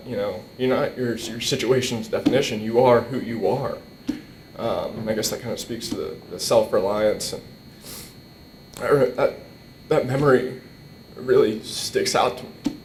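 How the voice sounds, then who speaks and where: A young man giving an informal eulogy, emotional and halting as he repeats words, at a stand microphone indoors. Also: darkish tone. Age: young adult. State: emotional, struggling to keep composure. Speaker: eulogist at a memorial, nephew of the deceased. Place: indoor hall. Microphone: microphone on a boom stand. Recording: roomy echo, faint rumbling noise.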